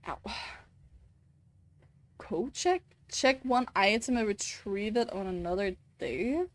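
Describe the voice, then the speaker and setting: A woman's voice: mid-range voice, takes it slowly, no room sound. A Danish woman streaming a game, thinking aloud and answering chat, often searching for words. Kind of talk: casual gameplay chat.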